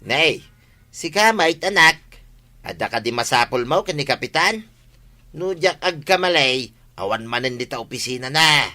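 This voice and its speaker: A man's voice: on the high side, animated, normal speed, pushed and bright. A man with an Ilocano accent acting an exasperated, grumbling village godfather in a radio comedy drama.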